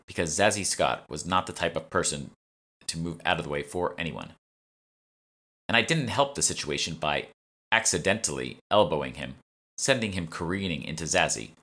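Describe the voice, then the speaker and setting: A man narrates a novel as its author. His voice sits low, with a bright, forceful edge, as he reads scripted prose. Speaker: author reading own audiobook. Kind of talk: scripted narration, audiobook reading.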